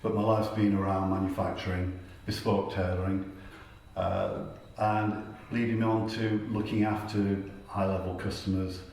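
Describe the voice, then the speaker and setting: An older man gives an informal personal account in a measured, explanatory way. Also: sits low, little pitch movement, vocal fry, darkish tone, slight background noise. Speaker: tailor being interviewed. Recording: very echoey, distant mic, faint music.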